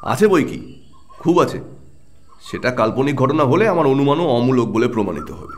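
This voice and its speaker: An actor playing a keen, brooding and excitable private detective in an audio drama, with a probing, emphatic delivery. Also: male voice, mid-range voice, moderate pace, lightly acted. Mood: pondering.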